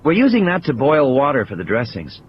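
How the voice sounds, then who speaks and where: Man voicing a practical woman, pitched up. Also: huge pitch swings, brisk, lo-fi sound, a little hiss or hum. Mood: calm, practical. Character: adult woman, calm practical helper. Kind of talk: audio play character dialogue.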